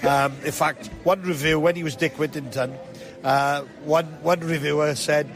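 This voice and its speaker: A man with a somewhat high-pitched voice chats casually as an interviewed pantomime actor. He is jovial and pokes fun at himself, with music clearly audible behind him. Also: steady tone, normal speed. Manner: jovial, self-deprecating.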